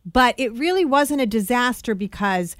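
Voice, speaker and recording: A woman's voice: on the high side, animated, moderate pace, no room sound, clean studio sound. A woman hosting a radio interview programme, introducing and questioning in a presenter's style. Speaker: radio show host.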